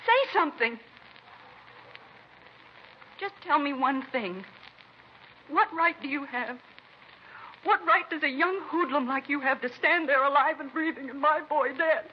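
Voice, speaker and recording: High-voiced, anguished bereaved mother. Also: unhurried, pauses often. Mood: grieving, bitter. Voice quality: emotional, pleading, insistent delivery. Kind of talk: 1950s radio crime drama acting. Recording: dull, noisy vintage radio recording.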